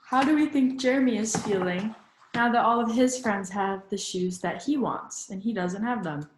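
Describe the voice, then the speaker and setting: A woman's voice: medium pitch, roomy sound, noisy background. A woman reads a children's picture book aloud like a teacher, in an instructive manner that invites young listeners to think along. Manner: instructive, pausing to prompt listeners with questions. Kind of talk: storybook read-aloud with questions to young listeners.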